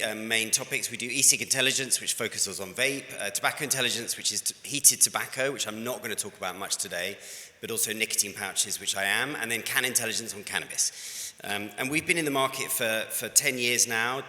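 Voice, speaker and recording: A British man gives a conference talk in a loose, conversational way, stopping and restarting his sentences. His lowish voice has a bright, forceful edge. The sound carries a long reverb from a large hall. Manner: conversational, with frequent fillers and restarts. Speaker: conference speaker. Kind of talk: conference presentation.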